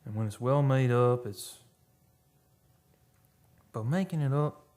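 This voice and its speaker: An actor playing a haunted, obsessed oil driller confessing a horror in a halting, brooding radio monologue. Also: male voice, mid-range voice, lively intonation, darkish tone. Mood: dreamy, unhinged. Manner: halting, brooding, dramatic storytelling.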